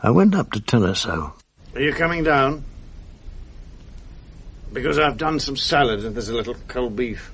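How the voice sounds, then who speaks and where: Dry, gravelly world-weary British man. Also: mid-range voice, animated, normal speed, pauses often, fairly bright tone. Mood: wry, weary. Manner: dry, wry, literate. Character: Philip, world-weary, irritable, sardonic man narrating his life. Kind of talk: radio drama acting, intimate first-person narration. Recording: faint background noise, archive radio broadcast.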